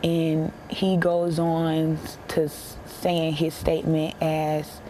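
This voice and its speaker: A young American woman speaks in a low, level voice as an interviewee. Her telling is plain and halting, with emphatic repetition. There is some background noise on the recording. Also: monotone, dark tone, rough recording. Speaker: interviewee, bereaved military widow. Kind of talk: emotional personal account in a broadcast interview.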